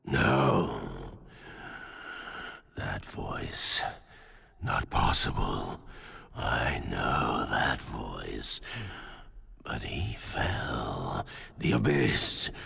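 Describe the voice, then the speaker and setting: Guttural, extremely deep monster god. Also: male voice, animated, pushed and bright, exaggerated voice. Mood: disbelieving. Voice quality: gravelly, raspy. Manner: halting, broken short phrases. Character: monstrous pit-dwelling false god, wrathful, bewildered. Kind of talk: video game voice acting.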